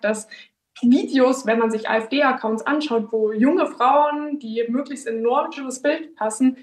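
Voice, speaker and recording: A young woman in her twenties speaks earnestly in an interview, circling back and restarting as she searches for words. Her voice sits somewhat high, and she is recorded from a little way off the microphone. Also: distant mic. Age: 20s. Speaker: local politician and activist. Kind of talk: interview statement.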